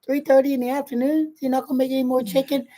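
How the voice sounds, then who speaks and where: A woman's voice: high-pitched, little pitch movement, fast talker, mellow. An American woman in her sixties co-hosting a casual chat in a folksy, colloquial way. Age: 60s. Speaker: co-host of a live chat show.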